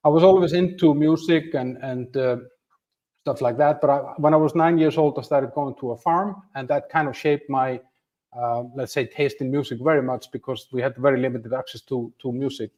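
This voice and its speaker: A man's voice: mid-range voice, moderate pace, a little mellow. 50s guy from iceland rambling on a home livestream, mid voice, lots of ums and restarts. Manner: chatty, self-deprecating, lots of restarts and fillers. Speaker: channel host. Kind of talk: livestream talk to viewers. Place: home room with a flag behind him.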